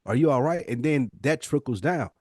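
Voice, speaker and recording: American male podcast co-host. Emphatic, informal, voices imagined exchanges, medium pitch, very little room sound. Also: expressive, normal speed, darkish tone, slightly rough, no room sound, clean studio sound. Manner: emphatic, slangy, acts out imagined dialogue. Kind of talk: casual conversational podcast discussion.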